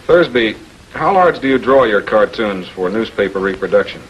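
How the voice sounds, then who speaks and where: An American actor playing a shrewd public prosecutor in 1940s television crime drama style, questioning in a deliberate, authoritative way. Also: male voice, on the low side, lively intonation, a bit bright, lo-fi sound. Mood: measured, in control. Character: shrewd, composed male public prosecutor confronting murder suspects. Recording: noisy 1940s film soundtrack.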